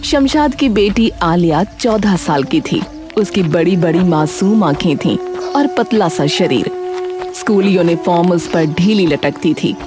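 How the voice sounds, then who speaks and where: A woman with a slightly low voice narrates a scripted dramatic story. Music plays behind her narration. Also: lively intonation, a little slow.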